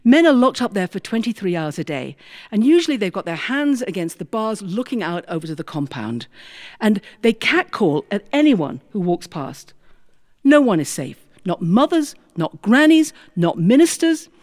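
A middle-aged British woman telling a story live, with dramatic, deliberate delivery. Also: medium pitch, sing-song, a little mellow. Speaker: storyteller, former prison chaplain. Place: recording studio with small audience.

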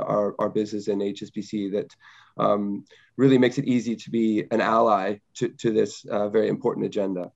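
A man on a panel webinar talks in a low, flat voice, stumbling over repeated words and fillers. He speaks through a headset on a video call, and the sound is slightly muffled. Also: creaky. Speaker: panelist, bank executive. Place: home or office video call.

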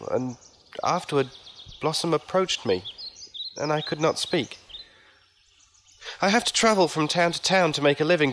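A man's voice: fairly high pitch, a bit bright, a little hiss or hum. A man acting in an audio drama as a lovelorn, timid travelling musician, earnest and hesitant.

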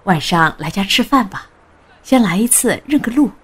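Woman acting as middle-aged mother, kindly, protective, husky voice, coaxing, audio drama. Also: mid-range voice, animated, normal speed, a bit bright. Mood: welcoming.

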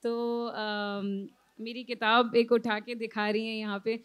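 A woman's voice: fairly high pitch, little pitch movement, slow, smooth. Indian woman speaking at a panel. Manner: reflective, many fillers, mixes in English phrases. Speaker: author on a panel. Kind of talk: panel discussion. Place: outdoor literary festival stage. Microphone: handheld mic.

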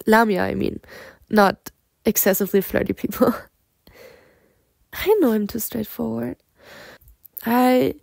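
A flirtatious lamia played by a woman in an ASMR roleplay, speaking in a fairly high, soft and mellow voice. She takes her time, coaxing and teasing the listener with easy confidence. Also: vocal fry, dry recording. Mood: amused, flirty, then flustered, curious. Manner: flirtatious, coaxing, breaking into soft giggles and whispered asides.

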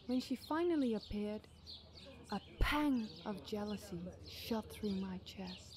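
A woman tells a scary campfire tale in scripted podcast narration. Her voice sits at an average pitch. Clear nature noise with animal calls runs behind her. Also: lively intonation, unhurried. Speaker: podcast storyteller.

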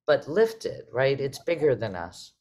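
A woman's voice: rumbling low, sing-song, unhurried, darkish tone. A woman moderating a casual panel conversation over a video call. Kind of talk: casual interview chat.

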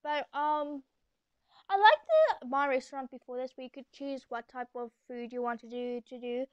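A woman's voice: sits high, lively intonation, moderate pace, smooth, no echo, dull audio. A young girl doing a casual, chatty and rambling gaming voiceover as the host of the video. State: sore throat. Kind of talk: casual game commentary voiceover. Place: echoey room at home, voice-over.

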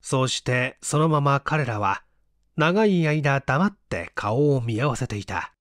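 A man reading a mystery story aloud as scripted narration, in a measured, suspenseful, theatrical manner. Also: mid-range voice, expressive, no echo, clean studio sound. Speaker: audiobook reader.

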